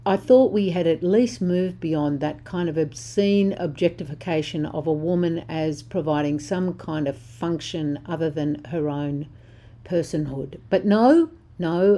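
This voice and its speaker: A woman's voice: low-pitched, warm tone. An older woman, host of a social justice talk, speaking bluntly and passionately while she is losing her voice. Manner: impassioned, blunt, conversational. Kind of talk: spoken commentary on social justice.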